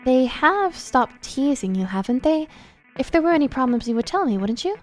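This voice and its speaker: A woman voicing a gentle, patient female teacher who speaks softly and coaxingly. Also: sits high, big pitch swings, moderate pace, faint music behind, voice pushed up. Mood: kind. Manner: soft, coaxing.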